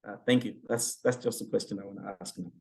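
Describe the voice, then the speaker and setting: A man's voice: on the low side, fairly even intonation, quick pace. A middle-aged man asking a question at an academic panel, hesitant and full of fillers and restarts. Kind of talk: academic Q&A with a personal story.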